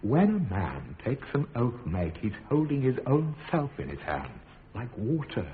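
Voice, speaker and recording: An actor playing a gentle, principled, loving father in prison, expressive and reflective, in a radio drama. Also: medium pitch, unhurried. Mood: earnest, reflective. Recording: narrow, noisy radio recording.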